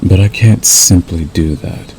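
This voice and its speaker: A man voicing a possessive, menacing male werewolf lover in an ASMR roleplay, slow and deliberate, coaxing and threatening with a gravelly growl. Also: low-pitched, warm tone, low-quality recording. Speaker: audio roleplay voice actor. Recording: faint background noise.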